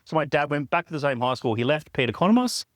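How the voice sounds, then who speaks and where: An Australian man telling a family story in a casual podcast chat, with quick asides and restarts. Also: a little high, lively intonation, no echo, clean studio sound. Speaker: podcast guest.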